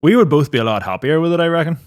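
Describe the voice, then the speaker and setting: A man's voice: on the high side, expressive, very fast. A young man co-hosting a comedy podcast, swearing casually and laughing often. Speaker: co-host.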